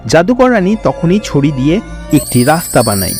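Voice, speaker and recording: A man's voice: fairly high pitch, sing-song, moderate pace, music in the background. A man narrating a scripted fairy tale for a cartoon as storyteller.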